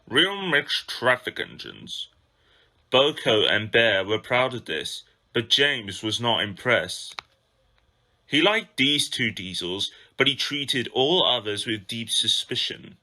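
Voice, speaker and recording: A man's voice: mid-range voice, expressive, slow pace, pushed and bright. A man narrating a children's story from a script as an amateur reader.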